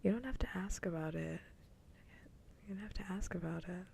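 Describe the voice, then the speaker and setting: A woman chatting casually on an ASMR livestream in a soft, breathy whisper, teasing playfully and repeating her phrases, close to the microphone. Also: lowish voice, a little fast, a little hiss or hum. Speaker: livestream host.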